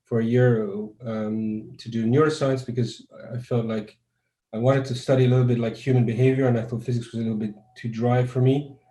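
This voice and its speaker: A man's voice: mid-range voice, little pitch movement, fairly quick, dark tone, echoey room. A man from Croatia, a guest neuroscientist, answers interview questions over a video call in a thoughtful, conversational way and often restarts his sentences.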